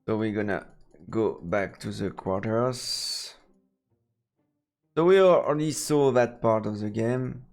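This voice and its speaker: A man streaming a let's play, reading visual novel narration in a halting way with casual asides. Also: lowish voice, soft background music.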